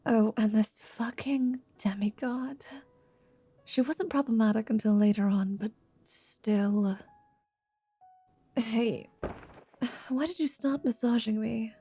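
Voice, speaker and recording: A woman playing a confident, teasing half-vampire wizard in an intimate ASMR roleplay, speaking in a soft, languid whisper. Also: fairly high pitch, slow pace, pauses often, husky, no echo, faint music behind, exaggerated voice. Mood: exasperated.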